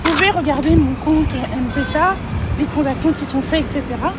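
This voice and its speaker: High-voiced chatty woman vlogger, noisy recording. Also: a little fast. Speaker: vlogger and real estate agent. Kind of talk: casual vlog chat and storytelling.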